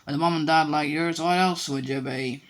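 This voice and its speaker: A man's voice: a little high, steady tone, moderate pace, close to an everyday voice. A man voicing a huge, kind-hearted giant of a man who talks bluntly and folksily. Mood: proud. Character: huge gruff kindly man, rough-spoken giant.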